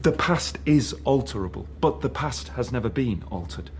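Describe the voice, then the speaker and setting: An actor playing a broken, submissive political prisoner in a radio drama, hesitant, meek and earnest. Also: male voice, medium pitch, expressive, moderate pace, slight background noise, close to an everyday voice. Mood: resigned, dutiful. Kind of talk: naturalistic radio drama acting.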